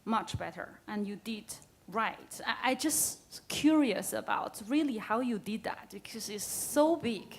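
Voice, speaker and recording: A woman with a fairly high voice speaks up on a conference panel. She frames a long question in simple, non-native English and laughs often between her points. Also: expressive, a little slow, hardly any pauses, slight background noise. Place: conference room.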